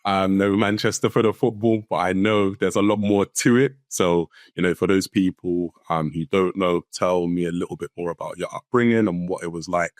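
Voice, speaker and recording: A man's voice: low-pitched, fairly even intonation, normal speed, hardly any pauses, dry recording, clean studio sound. A man hosting a podcast interview, friendly and casual, calling his guest "bro". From London, England. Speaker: podcast host, counsellor.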